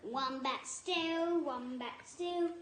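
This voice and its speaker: A woman voicing a clever, greedy male dingo from a storybook, gleeful and drawn-out, in an echoey room. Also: high voice, a bit bright, exaggerated voice. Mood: gleeful singsong. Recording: echoey room with faint noise.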